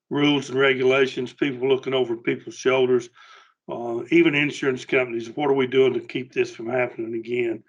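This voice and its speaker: A man with a Southern US accent giving an informal safety briefing to utility line workers in a halting, plain-spoken, earnest way. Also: medium pitch, highs cut off.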